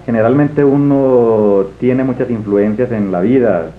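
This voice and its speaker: A man's voice: mid-range voice, unhurried. A man asking interview questions in a polite, formal way. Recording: roomy, narrow lo-fi sound with hum.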